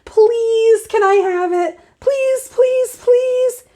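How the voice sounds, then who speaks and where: A woman voicing a dramatic, gushy little girl who squeals and begs in a high voice. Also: measured pace, gravelly, a little mellow, full character voice. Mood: begging.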